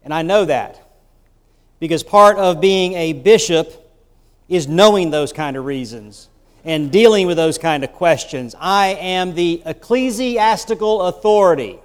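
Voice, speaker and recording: Bishop guy preaching, high smooth voice, chatty asides, big echoey church. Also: lively intonation, measured pace. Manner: storytelling, conversational with rhetorical asides. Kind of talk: sermon. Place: church sanctuary. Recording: long church reverb.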